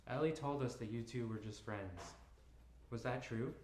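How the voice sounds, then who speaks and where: A man voicing a calm middle-aged father on the phone, measured and polite, in an echoey room. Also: medium pitch, slight background noise, lightly acted.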